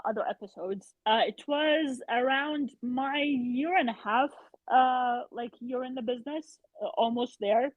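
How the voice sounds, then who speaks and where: A woman's voice: on the high side, dry recording. A woman from Iraq, a podcast guest, talking casually with many fillers and rising frustration, heard over a remote call. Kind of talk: casual conversation. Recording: tinny phone-like line, dry.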